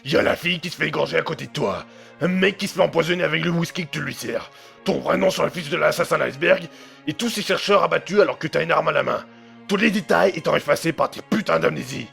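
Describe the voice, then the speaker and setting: A man's voice: fairly high pitch, fast talker, gravelly, fairly bright tone, faint music behind. An actor playing a tough, streetwise man who talks bluntly, swears and mutters to himself in an audio drama. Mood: angry.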